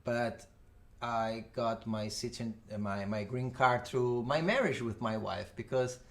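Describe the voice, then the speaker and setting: A man in his forties from Brazil with a Brazilian Portuguese accent, chatting as an interview guest in halting English full of restarts. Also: mid-range voice. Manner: halting non-native English with many restarts. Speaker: guest, audio engineer. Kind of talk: casual interview chat.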